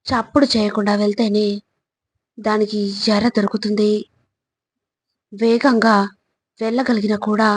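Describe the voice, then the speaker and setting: A woman reading scripted motivational quotes in short, deliberate phrases, with an instructive, exhorting manner. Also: mid-range voice, slightly rough, no room sound. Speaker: motivational narrator.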